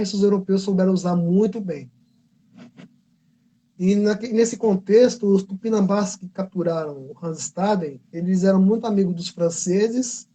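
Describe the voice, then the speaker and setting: Brazilian male artist, higher-pitched, warm and breathy, rambling livestream storyteller. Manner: rambling, self-correcting storyteller. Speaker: comic book artist. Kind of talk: casual livestream talk. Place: convention livestream.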